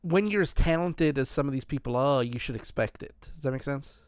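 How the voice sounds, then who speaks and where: A man's voice: medium pitch, brisk, dry recording, clean studio sound. A man hosting a podcast, chatting casually about music in a rambling way with lots of restarts. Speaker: podcast host.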